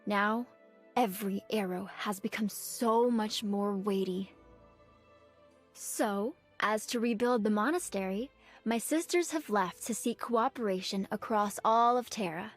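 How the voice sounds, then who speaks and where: A woman voicing an earnest, dutiful young nun archer in a video game, speaking politely and formally in measured phrases. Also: medium pitch, natural voice. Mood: proud, reflective. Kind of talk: scripted game character voice lines. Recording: clean dry studio, music behind.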